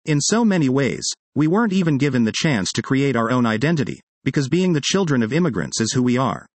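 A man's voice: mid-range voice, no echo. A man reading a written article aloud as scripted narration.

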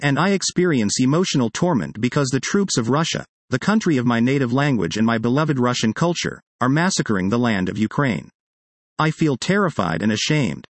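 A man's voice: mid-range voice, no echo. Earnest man reading an article aloud. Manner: earnest, measured reading with self-corrections of names. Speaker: reader of a published article. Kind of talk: read-aloud article narration.

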